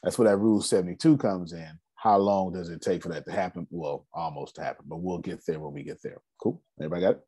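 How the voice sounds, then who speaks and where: A man in his forties giving a casual, energetic finance seminar talk, asking rhetorical questions and answering them himself. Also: low voice, fast, few pauses, breathy edge, dry recording. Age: 40s. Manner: loose, energetic, repeats key points. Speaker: finance speaker.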